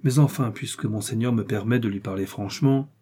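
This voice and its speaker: A man's voice: fairly low pitch, a little fast, mellow, breathy edge. A man reading an audiobook, voicing a brave, proud young swordsman who speaks in a respectful, earnest way.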